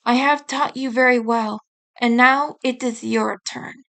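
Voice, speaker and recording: A woman's voice: on the high side, steady tone, measured pace, gravelly, fairly bright tone. A woman voicing a worried, protective snake-eyed yokai mother in a storybook, earnest and cautioning. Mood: grave warning.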